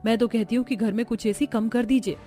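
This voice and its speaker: A woman voicing a gentle, dutiful young daughter-in-law in a cartoon story, polite and earnest. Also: on the high side, steady tone, fairly quick, faint music behind, lightly acted. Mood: concerned. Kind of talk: Hindi cartoon story voice acting.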